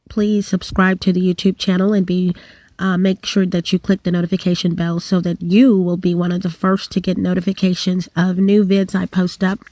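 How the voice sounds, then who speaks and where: Hoarse woman vlogging casual announcements. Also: medium pitch, a little mellow, dry recording. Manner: rambling, many fillers and restarts. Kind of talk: casual to-camera vlog with announcements.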